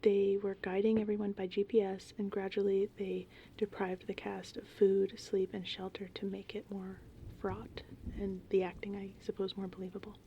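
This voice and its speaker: A woman in her thirties whispers softly and breathily in a casual, meandering ASMR ramble, chatting informally. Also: medium pitch, little pitch movement, moderate pace, few pauses, highs cut off, a little hiss or hum. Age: 30s. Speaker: ASMR host, horror film fan. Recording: rain and thunderstorm ambience behind. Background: gentle rain and thunderstorms.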